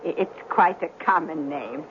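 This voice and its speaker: A woman's voice: sits low, moderate pace, lo-fi sound, close to an everyday voice. Woman playing flustered kindly old lady on noisy radio. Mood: confiding, earnest. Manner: fluttery, hesitant, earnest. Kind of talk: 1970s radio drama acting.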